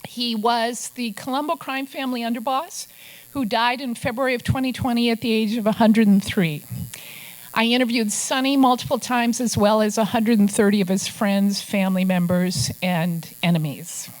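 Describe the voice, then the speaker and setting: A woman's voice: on the high side, steady tone, moderate pace, darkish tone, low-quality recording. An older woman from Minnesota, an investigative journalist telling stories to a press club audience with wry asides. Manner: storytelling, wry asides, puts on gruff quoted voices.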